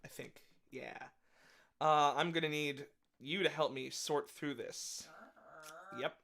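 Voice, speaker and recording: A musician chatting casually between songs, rambling through quick asides with little laughs. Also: medium pitch, steady tone, fairly bright tone. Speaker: musician performing a live set.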